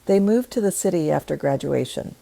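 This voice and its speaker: A woman narrates a scripted personal story in a measured, thoughtful manner. Her voice sits low with a gravelly edge and a soft, warm tone. The recording is close and dry. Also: animated, no echo.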